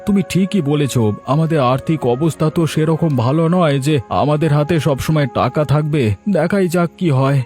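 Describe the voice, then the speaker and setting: A man's voice: fairly high pitch, a little fast, a little mellow, soft background music, lightly acted. A man voicing a mild, agreeable village husband in a cartoon. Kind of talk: Bengali cartoon voice acting.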